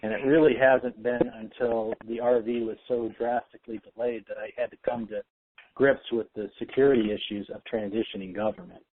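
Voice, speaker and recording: A man's voice: mid-range voice, fairly even intonation, a little fast, slight background noise. Man on a tinny phone call. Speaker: conference call host. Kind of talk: casual discussion on a conference call. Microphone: telephone.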